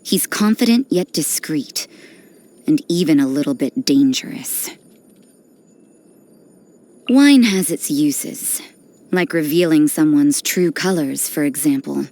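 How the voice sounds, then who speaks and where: An actress voicing an aloof, cynical young nun in a video game, speaking in a cool, dry, detached and unhurried manner. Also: female voice, low-pitched, lively intonation, mellow, exaggerated voice. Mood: wry, knowing. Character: aloof, cynical, worldly nun who does dirty work. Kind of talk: video game character voice lines. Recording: background music under the voice.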